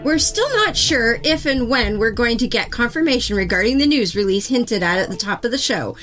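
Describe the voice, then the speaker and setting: A woman's voice: a little high, a little fast, fairly bright tone, faint music behind, close to an everyday voice. A woman playing a skeptical news anchor who co-hosts a satirical local broadcast with dry, sarcastic delivery. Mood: brisk, informative. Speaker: co-host. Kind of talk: scripted satirical newscast.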